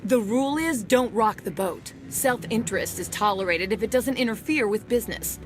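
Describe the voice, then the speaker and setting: A woman playing an undercover internal affairs agent in a video game, brisk and matter-of-fact with a dryly sardonic edge. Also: a little high, music in the background, lightly acted. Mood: businesslike. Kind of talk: video game dialogue acting.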